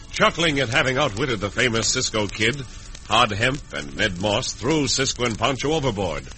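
A man's voice: on the low side, pushed and bright, low-quality recording. A man with an American accent narrating a western serial as announcer, in dramatic vintage radio style.

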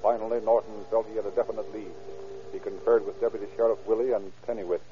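An actor as the sober narrator of a crime case, speaking formally in 1940s radio drama style. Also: male voice, lowish voice, steady tone, dark tone, natural voice. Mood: sober, dramatic. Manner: formal, measured delivery. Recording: dull old radio recording. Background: music.

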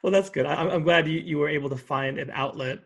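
A man's voice: on the high side, fast, a bit bright, breathy edge. A man hosting a casual interview, asking polite, hesitant questions. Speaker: interviewer, podcast host.

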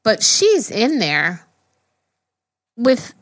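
A woman's voice: a little high, wildly swooping pitch, very slow, dry recording, studio quality. A woman co-hosting a podcast, chatting casually and rambling through restarts, with a slight tickle in her throat. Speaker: podcast co-host. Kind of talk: casual chat.

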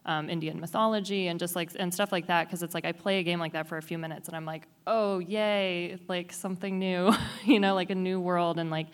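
Woman running an indie games booth, low voice, pretty monotone, says like a lot. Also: brisk, few pauses, smooth. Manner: casual, filler-heavy with frequent "like". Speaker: organizer of an indie game showcase. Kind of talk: panel discussion.

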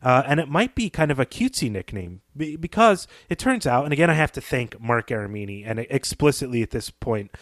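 Analytical man, mid-pitched, dry recording. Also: very animated, fairly quick, hardly any pauses, no echo. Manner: thoughtful, analytical. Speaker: podcast co-host. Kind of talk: conversational literary analysis.

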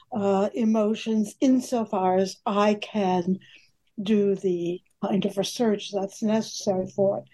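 An older woman historian answering interview questions, halting, with many fillers. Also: medium pitch, warm tone. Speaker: historian guest.

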